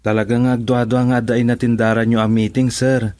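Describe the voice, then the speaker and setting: A man's voice: medium pitch, little pitch movement, moderate pace, a little mellow, no echo, lightly acted. An actor in an Ilocano radio drama playing a deferential employee reporting to his boss, with a Filipino accent.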